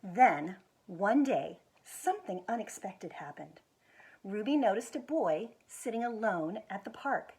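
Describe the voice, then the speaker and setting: Husky-voiced American woman reading a picture book. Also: mid-range voice, low-quality recording. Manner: expressive, with drawn-out emphasis and quiet asides to show pictures. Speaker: executive director of a schools foundation, guest reader. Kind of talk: read-aloud of a children's picture book.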